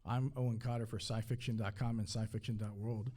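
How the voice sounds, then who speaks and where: A man hosting a live interview at a convention, speaking in a somewhat halting way as he puts questions to guests. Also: on the low side, little pitch movement, dark tone. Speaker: podcast host interviewer.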